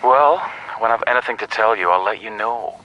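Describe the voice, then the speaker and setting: Blunt, low, sharp-edged male detective. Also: fairly quick, highs cut off, a little hiss or hum. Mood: curt. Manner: blunt, dry, clipped. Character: curt, unhurried, blunt male police detective. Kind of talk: radio drama acting.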